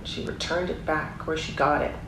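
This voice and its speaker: A woman with a slightly low voice reads a story aloud for young children. She speaks in an encouraging way, mixing the book's text with chatty explanations and questions. The recording is echoey, with noticeable background noise. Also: fairly even intonation, normal speed. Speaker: storyteller reading a children's picture book aloud. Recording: roomy echo, clear background noise.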